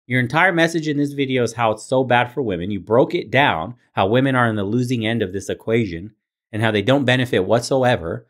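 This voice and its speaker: A man's voice: medium pitch, a little fast. A man reacting to video clips as host, blunt, scolding and sarcastic. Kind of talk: commentary on clips.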